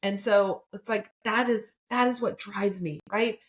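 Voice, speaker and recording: A woman's voice: medium pitch, steady tone, a little slow. A woman in her fifties hosting a solo motivational podcast, chatty and enthusiastic, often asking "right?". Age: 50s. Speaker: podcast host and coach.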